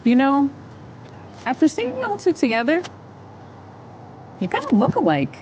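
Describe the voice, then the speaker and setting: A woman's voice: sits high, wildly swooping pitch, normal speed, darkish tone, highs cut off. An actress playing a weary, caring mother in an audio drama. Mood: musing. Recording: faint background noise behind.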